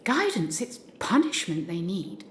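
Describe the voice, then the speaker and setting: A woman's voice: lowish voice, very animated, a little slow, slight background noise. A woman playing an anxious, curious new governess in an audio drama, earnest and questioning. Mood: hesitant. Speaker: amateur actor. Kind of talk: community audio drama acting.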